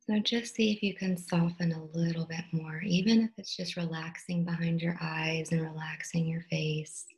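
A woman with a slightly low voice leads a guided meditation. She speaks softly and gently, giving soothing instructions in short, unhurried phrases. Long pauses sit between her phrases. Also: steady tone, poor audio. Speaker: meditation teacher.

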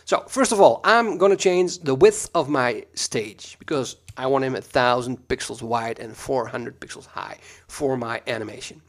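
A Dutch man with a Dutch accent, teaching a screen-recorded software tutorial in a relaxed, chatty way full of ums and uhs, recorded at a computer mic. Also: mid-range voice, normal speed, hardly any pauses.

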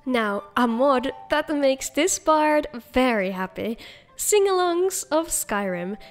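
High-voiced woman narrating a game showcase. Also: animated, takes it slowly, soft background music. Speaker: video host, game mod reviewer. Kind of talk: upbeat scripted narration.

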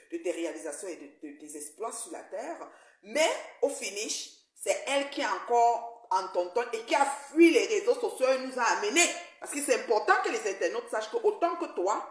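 A woman in her forties with a mid-range voice, speaking in an animated, indignant way. She gestures as she talks into a phone from an armchair, and the living room adds a roomy echo. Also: medium pitch. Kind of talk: video call discussion.

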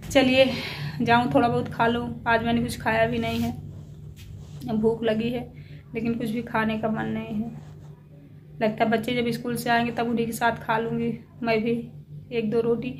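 Weary, high-voiced woman vlogger. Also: monotone, normal speed, pauses often. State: unwell with a chill, tired, slightly breathless. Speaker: small family vlogger. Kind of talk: casual chat to viewers. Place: at home, alone. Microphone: handheld phone. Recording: clearly noisy handheld phone recording.

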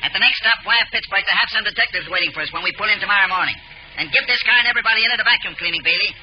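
A man playing a gruff, sarcastic, impatient older police inspector in an old radio drama. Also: sits high, rapid-fire, slight background noise, natural voice. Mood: exasperated. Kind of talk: 1940s radio acting. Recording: dull old radio broadcast.

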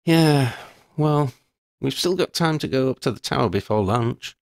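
A man's voice: medium pitch, lively intonation, unhurried, gravelly, a little mellow, no echo, clean studio sound, voice pushed up. A man reading aloud and voicing a frank, protective teenage schoolgirl who speaks earnestly and reassuringly. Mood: wry. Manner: raised put-on voice, earnest and reassuring.

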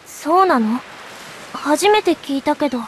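A woman's voice: high voice, lively intonation, measured pace, slight background noise. A woman playing an earnest, innocent teenage girl in an anime game, sweet and sincere. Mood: curious, shy. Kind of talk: Japanese anime game voice acting.

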